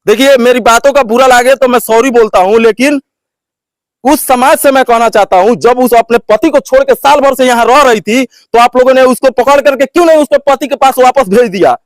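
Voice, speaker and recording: A man hosts an interview and commentary vlog in a notably high voice. The sound is dry, with no room on it. His phrasing is halting and circles back on itself with recurring filler phrases. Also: dry recording. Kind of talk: phone interview and opinion commentary to viewers.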